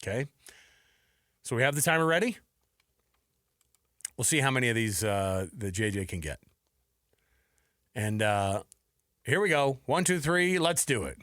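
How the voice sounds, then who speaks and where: Man, sports radio co-host, casual talk, mid-pitched voice, dry close studio mic. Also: very animated, a little slow, pauses often, fairly bright tone, no room sound. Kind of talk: casual sports talk, running a list quiz. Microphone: close studio radio microphone.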